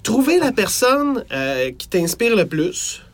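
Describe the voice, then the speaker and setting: A Quebec French man chatting on a podcast with a slightly high voice. He rambles and hesitates, stopping and restarting his thoughts as he goes. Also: sing-song, slow pace. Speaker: podcast co-host. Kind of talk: casual podcast chat.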